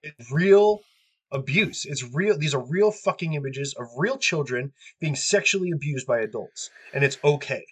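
A man's voice: a little high, lively intonation, moderate pace, breathy edge. A man hosting a podcast who rants angrily and swears constantly, sniffling from allergies, talking from a cold house. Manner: angry, profane ranting. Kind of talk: ranting podcast talk.

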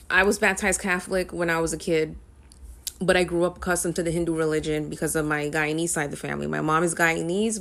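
A young woman talks casually to her viewers in a lowish voice. She rambles and pads her sentences with ums and uhs, in a relaxed, unscripted vlog style. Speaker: music vlogger. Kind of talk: casual talk to camera.

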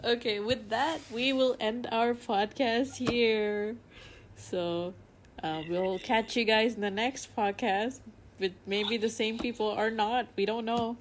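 Relaxed podcast host woman, light background hiss. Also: a little high, slow pace. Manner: relaxed, laughing often, filler words. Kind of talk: casual chat. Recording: faint background noise.

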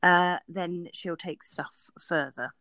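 A woman's voice: fairly low pitch, fairly even intonation, slow pace, sharp tone, no echo. A woman co-hosting a workplace podcast, talking earnestly and often restarting her sentences. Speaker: podcast co-host. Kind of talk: casual workplace podcast chat.

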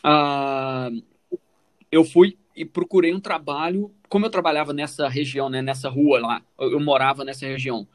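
A man's voice: medium pitch, steady tone, normal speed. A man with a Brazilian Portuguese accent telling his own story in a casual, informal way as a podcast co-host, often adding little check-in questions.

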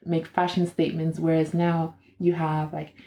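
Young woman, lower voice, casual chatty podcast co-host. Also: completely flat delivery, moderate pace, creaky, mellow. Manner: chatty, laughs often, explains at length. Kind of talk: casual chat. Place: room in Ireland.

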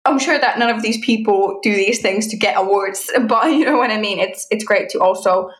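A woman's voice: medium pitch, normal speed. A woman from Finland, a native Finnish speaker, chatting casually as a podcast co-host and sharing news in informal, hesitant phrasing. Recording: roomy, echoey sound.

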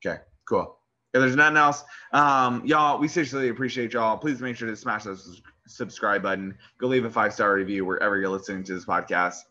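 A man's voice: lowish voice, brisk, lo-fi sound. A young man with a lisp co-hosting a podcast, chatting casually, swearing and laughing a lot. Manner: profane, laughing, animated. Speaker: podcast co-host. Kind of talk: casual chat.

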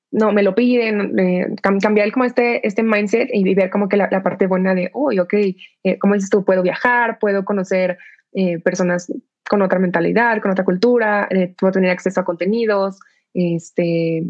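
A woman moderating a panel discussion, speaking haltingly with many fillers. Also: mid-range voice, a little mellow, dull audio. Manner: hesitant, many fillers and restarts.

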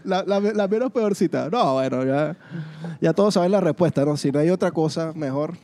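High-voiced Venezuelan man joking around. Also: moderate pace. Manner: animated, joking, often repeats words. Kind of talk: casual question game chat.

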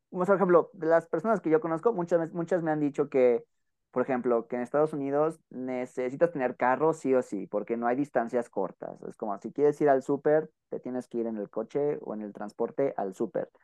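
A man's voice: fairly high pitch, warm tone, clean tone. A man with a Mexican Spanish accent speaking informally and chattily as a podcast host and interviewer. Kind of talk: casual podcast talk and interview.

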